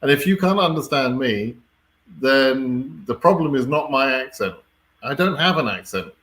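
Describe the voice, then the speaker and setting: A British man hosts a casual live Q&A, reading questions and answering them off the cuff. His voice sits at an average pitch for a man. He is blunt and wry, a little exasperated, and often checks that listeners follow. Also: lively intonation, moderate pace, a bit bright. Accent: British English. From Britain.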